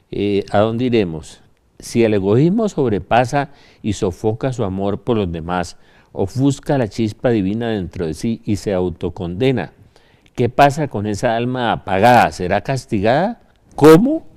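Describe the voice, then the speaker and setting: An older Colombian man speaks in a low, mellow voice. He gives an informal religious talk as a storyteller would, stressing his points and tossing rhetorical questions to his listeners. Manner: anecdotal, emphatic, rhetorical questions.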